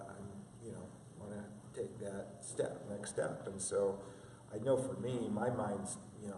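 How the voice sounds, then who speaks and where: A man in his fifties, a father, reflecting in a halting, filler-heavy way. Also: on the low side, warm tone, slight background noise. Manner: halting, many fillers and restarts. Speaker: interview guest, father. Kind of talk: reflective conversational interview. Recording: echoey room from a distance.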